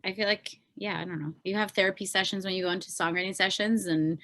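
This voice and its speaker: A woman's voice: medium pitch, fairly even intonation, quick pace, fairly bright tone. A woman with a Canadian accent speaking as an interview guest, informal and rambling, often trailing off. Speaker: podcast guest, pop singer-songwriter. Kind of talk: casual interview chat.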